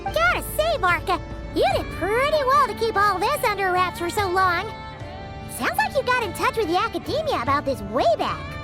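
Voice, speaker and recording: A woman voicing a small, chatty, childlike female fairy companion in a video game, with a squeaky, perky voice. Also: very animated, normal speed, loud music behind the voice. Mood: impressed, musing.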